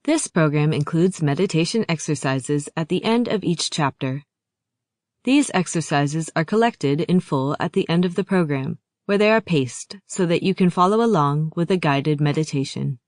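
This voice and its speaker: A woman's voice: low-pitched, animated, moderate pace, darkish tone, no echo, studio quality. A woman reading a scripted introduction as an audiobook announcer.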